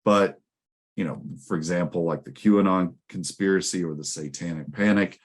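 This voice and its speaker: A man presenting academic ideas in a measured, scholarly way, explaining concepts with small asides and check-in questions. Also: deep voice, breathy edge. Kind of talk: conference presentation, explanatory lecture.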